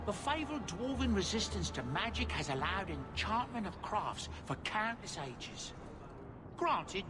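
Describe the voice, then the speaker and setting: A man voicing a cheerful, courteous dwarf merchant and proud father in a video game, with florid salesman manners. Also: a little high.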